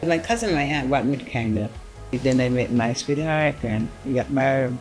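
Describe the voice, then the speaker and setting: Woman from Barbados, very low voice, hesitant, shy introduction. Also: expressive, brisk, darkish tone, faint music behind. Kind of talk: interview recollection.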